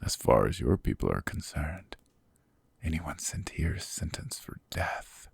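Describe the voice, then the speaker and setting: A man voicing a cold, sadistic, possessive demon king in an ASMR roleplay, talking slowly and mockingly in gravelly whispers close to the mic. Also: extremely low pitch, very animated, heavily acted. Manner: slow, mocking, condescending. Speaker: voice actor.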